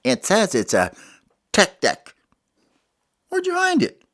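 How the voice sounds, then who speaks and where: A man voicing a small, excitable male critter who shows off and teases, in a squeaky high voice. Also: takes it slowly, heavily acted. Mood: excited. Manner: eager, teasing.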